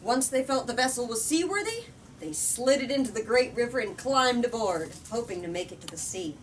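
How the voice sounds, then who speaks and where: A woman reading a storybook aloud in an expressive, deliberate way, as the host of a book discussion. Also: on the high side. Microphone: far from the speaker. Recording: distant mic, noisy room.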